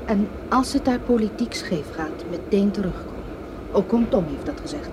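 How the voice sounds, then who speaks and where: A woman's voice: medium pitch, expressive, normal speed, noisy background, lightly acted. An actress playing a fussing, affectionate mother in a radio play. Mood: anxious, caring. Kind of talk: radio drama acting.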